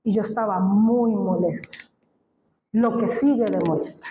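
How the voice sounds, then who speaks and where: A woman's voice: medium pitch, unhurried, warm tone, echoey room, muffled recording, a little hiss or hum. A woman from Colombia preaching a sermon, speaking emphatically and asking the congregation questions. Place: church.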